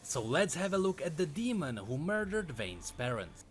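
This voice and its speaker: Dramatic male lore narrator, animated pitch. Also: moderate pace, slight background noise. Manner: dramatic storytelling delivery. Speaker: narrator of a game lore video. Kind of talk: scripted narration.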